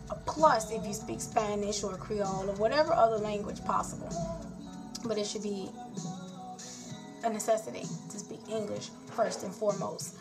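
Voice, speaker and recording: A woman born in Haiti and raised in South Florida, a nurse, venting bluntly and frankly in a rambling casual vlog. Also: mid-range voice.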